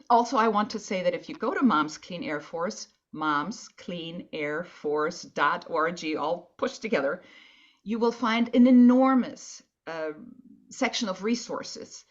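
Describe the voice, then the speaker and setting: A woman's voice: medium pitch, dull audio. Woman speaking earnestly on a panel. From Colombia. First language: Spanish. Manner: earnest, with frequent restarts and repeated words. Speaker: environmental advocacy panelist. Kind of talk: conference panel talk.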